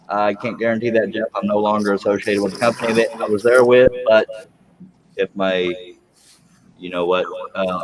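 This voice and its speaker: A man's voice: fairly low pitch, background noise. Jokey man in his forties, laughing often. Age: 40s. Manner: joking, laughs a lot, rambling. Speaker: host. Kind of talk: casual chat.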